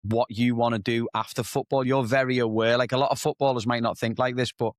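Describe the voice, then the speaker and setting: Chatty podcast host, dry close sound. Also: male voice, mid-range voice, fairly even intonation, brisk, no room sound. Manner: friendly, uses "mate". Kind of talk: casual interview chat.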